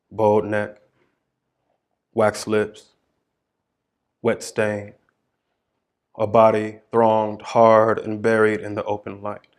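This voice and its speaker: A young man performing his poetry aloud, chanting repeated phrases with sweeping swings from hushed murmurs to shouts. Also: medium pitch. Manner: rhythmic, incantatory delivery with chanted repetitions. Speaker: poet.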